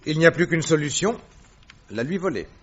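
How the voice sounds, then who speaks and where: An actor playing a self-assured, courteous detective in a French radio drama, explaining and commanding firmly on a dull old recording. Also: male voice, a little high, animated, fairly quick, fairly bright tone, breathy edge. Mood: brisk, businesslike. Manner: assured, didactic, courteous but firm.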